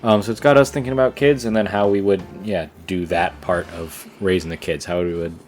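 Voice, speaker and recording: A young man co-hosting a podcast, talking casually in a relaxed, rambling way with lots of fillers and restarts. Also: low-pitched, a little fast, faint music behind. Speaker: podcast co-host. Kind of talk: casual conversation.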